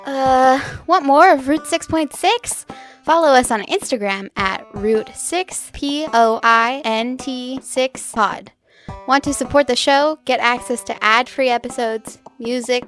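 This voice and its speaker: A woman's voice: high voice, a little slow, fairly bright tone, faint music behind. A woman announcer reading a podcast promo.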